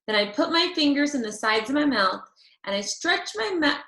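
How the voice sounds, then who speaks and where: A woman reading a children's chapter book aloud in an expressive, deliberate storytelling manner. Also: medium pitch. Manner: animated, deliberate storytelling with drawn-out phrases. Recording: roomy, echoey sound.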